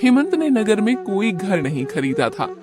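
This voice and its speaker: A man narrating an animated moral tale in a scripted storytelling style. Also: high voice, measured pace, over loud music.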